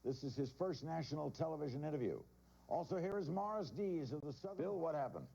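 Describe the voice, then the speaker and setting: A man's voice: on the high side, animated, quick pace, creaky, mellow. An American man introducing a guest as a television news interviewer. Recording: dull broadcast copy, faint noise.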